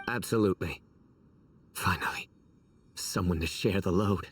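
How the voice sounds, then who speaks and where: A man playing a composed, unruffled adult man with a love of bad puns in a video game, speaking deadpan. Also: deep voice, measured pace, far from the mic, slight background noise. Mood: smug, calm.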